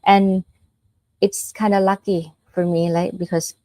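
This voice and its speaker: A woman's voice: on the low side, little pitch movement, unhurried, dark tone, dry recording. A woman from Thailand with a Thai accent giving an informal dharma talk as a Buddhist nun, explaining step by step in a conversational way with check-in questions.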